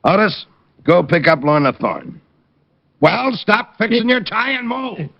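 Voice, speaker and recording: An actor playing a gruff, weary police lieutenant, dry and bossy, in an old-time radio detective drama. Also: male voice, high-pitched, very animated, a little slow, no echo, exaggerated voice. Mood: wry, gruff. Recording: dull vintage radio recording.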